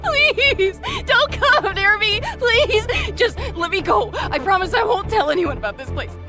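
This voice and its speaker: A woman playing a terrified young captive in an audio drama, crying and pleading in halting, trembling speech. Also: very high voice, expressive, over music.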